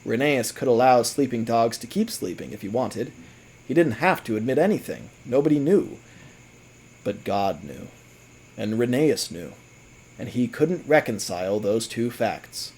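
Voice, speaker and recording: A man narrating a scripted audio drama as a storyteller, with theatrical, deliberate phrasing. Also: mid-range voice, big pitch swings, darkish tone, slightly rough, low-quality recording.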